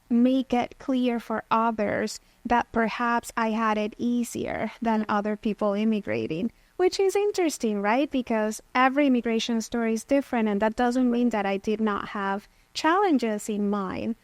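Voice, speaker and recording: A woman's voice: fairly high pitch, no room sound. Reflective woman from Venezuela. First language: Spanish. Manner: thoughtful, frequent "um" and "you know". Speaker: therapist guest. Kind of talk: reflective personal storytelling.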